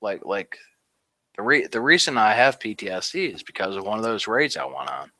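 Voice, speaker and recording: A man's voice: mid-range voice, a little fast, vocal fry, sharp tone, no echo. A man in his thirties, a veteran, telling his war story in a rambling, casual way with lots of fillers. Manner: rambling, many "like" fillers, laughs at grim moments. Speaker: Army veteran guest. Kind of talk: casual interview talk.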